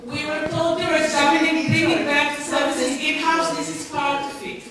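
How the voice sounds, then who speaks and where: A woman's voice: sits high, flat delivery, moderate pace, crowd noise. A woman putting questions at a public meeting in an insistent, confrontational way, recorded in a large echoey room. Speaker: social worker asking a public question at a council meeting. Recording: echoey hall from far off, faint noise.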